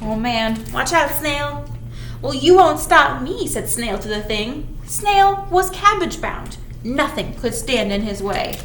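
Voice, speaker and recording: A woman's voice: a little high, animated, takes it slowly, few pauses, fairly bright tone, echoey room, a little hiss or hum. A woman leading a children's storytime, reading aloud in a singsong way and chatting to young listeners.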